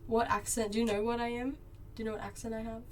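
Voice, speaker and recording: A woman's voice: on the high side, flat delivery, quick pace, slight background noise. A young Australian woman with an Australian accent, chatting casually and rambling to live viewers while she draws, with lots of filler "like"s. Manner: laid-back, rambling, many filler "like"s. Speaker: streamer.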